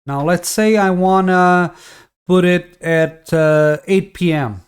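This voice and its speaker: Brief: Portuguese-native man, slightly higher voice, loose rambling tutorial delivery. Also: takes it slowly, clean tone. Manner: relaxed, rambling, frequent fillers and restarts. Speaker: tutorial host. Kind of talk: casual screen-recorded game tutorial.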